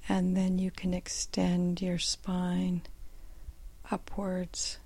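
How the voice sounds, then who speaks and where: A woman guiding a meditation with gentle, soothing, unhurried instructions and long pauses, in a soft and at times breathy voice. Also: lowish voice, monotone. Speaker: meditation guide. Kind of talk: guided meditation.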